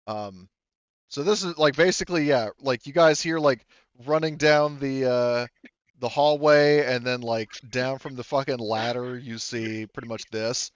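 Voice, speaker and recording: A man's voice: medium pitch, a little slow, smooth, dry recording, highs cut off. A man running a tabletop game as game master, narrating casually and thinking aloud in a hesitant way.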